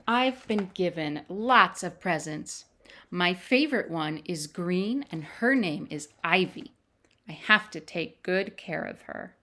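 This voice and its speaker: Slow, animated woman reading to children. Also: lowish voice, slight background noise. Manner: expressive, deliberate, sing-song delivery. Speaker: children's storytime host, librarian. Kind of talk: read-aloud picture book storytelling for young children. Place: at home, indoors.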